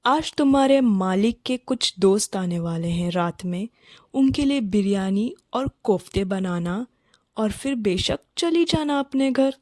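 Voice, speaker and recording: A woman voicing a scheming, bossy rich mistress in a children's cartoon, sharp, haughty and scornful in manner. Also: medium pitch, lively intonation, measured pace, dry recording, studio quality, natural voice. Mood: stern, scornful. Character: scheming, bossy wealthy mistress scolding her maid. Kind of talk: Hindi children's cartoon story acting.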